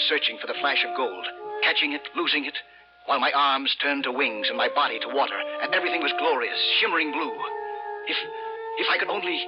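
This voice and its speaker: A man's voice: medium pitch, muffled recording, lightly acted. An actor playing a brooding, dreamy, obsessed skin diver who narrates his own story in an old radio suspense style, musing and dramatic, repeating phrases. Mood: urgent, yearning. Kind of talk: 1950s radio suspense acting, first-person narration. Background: dramatic music.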